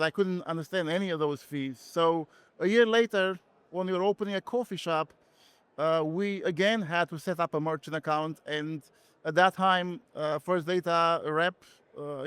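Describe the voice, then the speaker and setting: Middle-aged Romanian-accented male guest, high clear voice, earnest and halting personal storytelling. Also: no echo. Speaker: interview guest, company founder. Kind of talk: personal story in a podcast interview.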